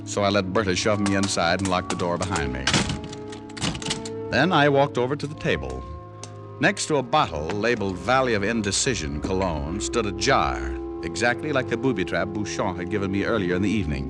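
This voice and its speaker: An actor playing a cool, wisecracking private detective with an American accent and wry wit, in old-time radio drama style. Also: male voice, sits low, expressive, brisk, over loud music. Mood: wry, narrating. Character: wisecracking, cool male private detective narrating his case. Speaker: lead actor and narrator.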